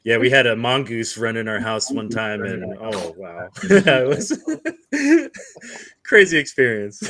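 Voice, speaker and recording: A man's voice: medium pitch, very animated, takes it slowly, a crowd in the background. A man from Hawaii telling stories in a casual chat. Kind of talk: casual storytelling.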